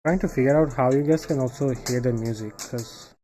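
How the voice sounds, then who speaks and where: A man from Bangladesh, thinking aloud in casual live coding commentary, halting and trailing off into quiet asides. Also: medium pitch, faint music behind. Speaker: live streamer building software.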